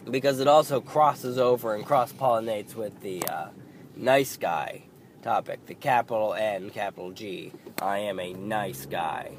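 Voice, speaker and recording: Male podcast host, 30s, hoarse, failing voice, slow and rambling, traffic noise behind. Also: on the low side. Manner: rambling, halting, swears under his breath. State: losing his voice, hoarse and croaky. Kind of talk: casual solo podcast monologue.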